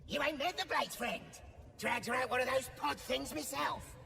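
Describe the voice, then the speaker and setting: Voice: high-pitched, moderate pace, gravelly, sharp tone, full character voice. An actor voicing a brutish goblin torturer in a video game, sneering, crude and taunting. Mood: bullying. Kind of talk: video game character acting. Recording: distant, long hall reverb, under music.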